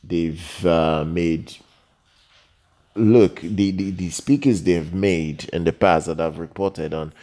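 A man with a very low, mellow voice presents a product review off the cuff. He talks haltingly, doubling back on phrases and repeating words to drive his point home. The tone stays dark and relaxed. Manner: halting, repetitive, emphatic on key words. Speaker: product reviewer, channel host. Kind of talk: unscripted product review voice-over.

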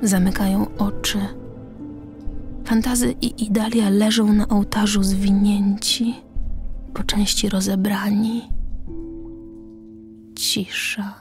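A woman's voice: medium pitch, level tone, slow, pauses often. Woman narrating a radio play over music. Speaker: narrator reading stage directions.

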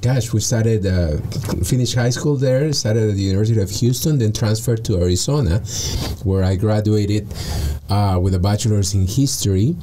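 Studio guest, thirties man from Peru, articulate and warm-toned, slight room noise. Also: on the low side, moderate pace. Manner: earnest, articulate, frequent restarts. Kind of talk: radio interview. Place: small padded studio. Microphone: studio mic with headphones.

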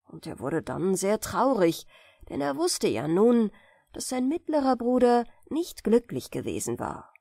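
A woman reads a bedtime fairy tale as an audiobook storyteller. Her voice sits a little high and moves with lively melody. She takes her time, telling it expressively and deliberately. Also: vocal fry, dry recording, studio quality.

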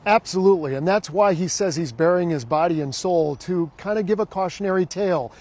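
High-voiced male TV news reporter. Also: fairly quick, darkish tone. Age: middle-aged. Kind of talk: scripted news report narration and live report.

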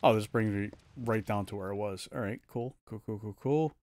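Low-voiced man streaming a video game. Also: normal speed, darkish tone. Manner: thinking aloud in short, slangy remarks. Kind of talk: casual live gameplay commentary. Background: game music.

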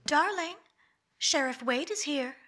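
An actress playing an anxious, genteel wife in a 1950s-style radio mystery, speaking quickly and with worry. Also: female voice, high-pitched, expressive, pushed and bright, heavily acted. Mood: polite. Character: anxious, devoted middle-aged wife.

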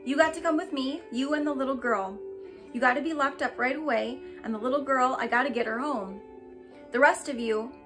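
Female narrator voicing a blustering rural constable, gruff dialect, pitched a bit high, light music under. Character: blustering rural lawman, middle-aged.

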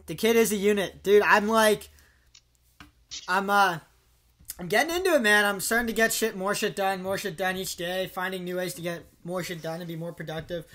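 A young man hosting a podcast, chatting casually in a rambling, profane, energetic way. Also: high voice, normal speed. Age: young adult. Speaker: podcast host. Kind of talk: casual chat. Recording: echoey room.

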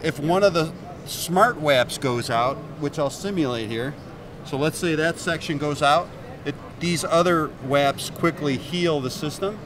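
A man's voice: on the high side, a little slow, a crowd in the background. A man in his fifties, a company executive, explaining his product in an upbeat way on a trade show floor, into a handheld mic. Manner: upbeat, explains while pointing at hardware. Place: busy indoor expo hall. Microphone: handheld mic held by interviewer.

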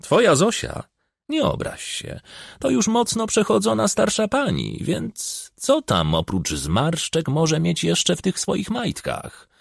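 Casting: male reader as bragging middle-aged rural official, pompous, raised gravelly voice, audiobook comedy of self-importance. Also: sits high, huge pitch swings, slow, dry recording, studio quality, voice pushed up, full character voice. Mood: dismissive.

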